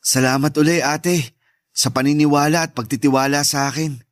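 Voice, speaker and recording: A man's voice: on the high side, steady tone, moderate pace, no room sound, studio quality, lightly acted. An actor playing a humble, grateful young man talking to his older sister in a Tagalog radio drama, earnest, with a Filipino accent.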